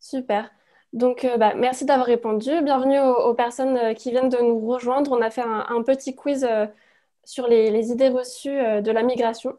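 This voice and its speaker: A woman's voice: fairly high pitch, fairly even intonation, moderate pace, warm tone. A woman co-facilitating a webinar, running a quiz in a hesitant, filler-heavy way. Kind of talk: webinar presentation. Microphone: video call.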